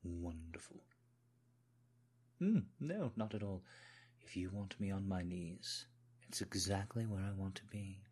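A man's voice: deep voice, animated, a little slow, long pauses, a little mellow, breathy edge, full character voice. A man voicing a devoted, obsequious manservant with a put-on British accent, speaking in a deferential, politely formal way in an intimate audio roleplay. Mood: soft, obliging.

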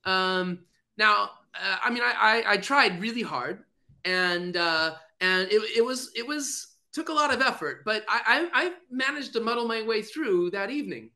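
A man with a bright, forward voice talks in a casual chat. He stops and restarts a lot, stacking fillers as he gathers his thoughts. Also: sits high, lively intonation. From United States. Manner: halting, many restarts and fillers. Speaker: guest. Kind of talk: casual chat over video call.

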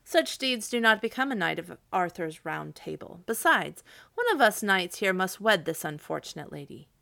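A woman's voice: lowish voice, sing-song, moderate pace, gravelly, heavily acted. A woman reading aloud, voicing a young, courteous male knight who speaks earnestly and firmly. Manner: earnest, forceful.

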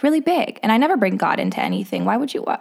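A woman's voice: a little high, huge pitch swings, racing, gravelly, a little mellow. A woman guest in a casual interview, talking earnestly and defensively, restating her points. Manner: earnest, defensive, repeats herself. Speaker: guest, real estate agent.

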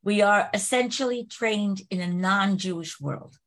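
A woman in the class reads a passage aloud at a steady, careful pace over a video call. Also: mid-range voice, unhurried. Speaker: class participant. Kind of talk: reading a text aloud.

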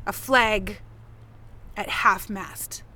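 Slow, bright-voiced, defiant woman. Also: mid-range voice, fairly even intonation. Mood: grieving, earnest. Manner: deliberate, pointed, with weighted pauses. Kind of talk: naturalistic audio drama dialogue.

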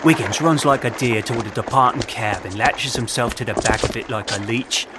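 A man's voice: medium pitch, a little fast, very noisy. A man narrating an audio drama in a florid, theatrical storytelling manner. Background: music.

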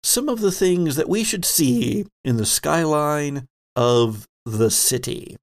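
Creaky-voiced male podcast host. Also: on the high side, expressive, slow pace. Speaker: podcast host and game master. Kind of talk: hosting casual tabletop game chat.

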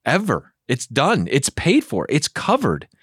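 A man's voice: a little high, measured pace, slightly rough, no room sound, studio quality. A man, a pastor, talking earnestly and with animation in a casual theology discussion. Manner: earnest, animated, acts out inner voices.

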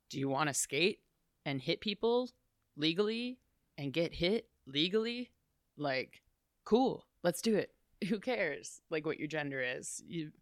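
A young adult woman talking as an interview guest, loud and chatty, laughing often. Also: sits low, clean tone, no room sound, clean studio sound. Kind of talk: casual interview chat.

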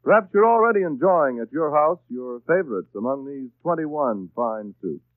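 A man's voice: medium pitch, expressive, moderate pace, dry recording. An American man reading a script as a 1930s radio announcer. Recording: dry, tinny 1930s radio broadcast.

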